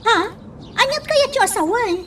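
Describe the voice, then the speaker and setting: A woman's voice: very high voice, expressive, moderate pace, fairly bright tone, slight background noise. A woman playing a chatty young woman in an Ilocano radio romance drama. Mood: inquisitive.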